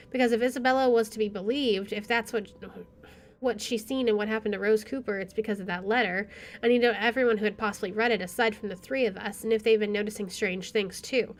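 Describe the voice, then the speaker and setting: A woman's voice: mid-range voice, steady tone, quick pace. A young adult woman streaming a game, reading a visual novel aloud with casual commentary. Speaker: gaming streamer.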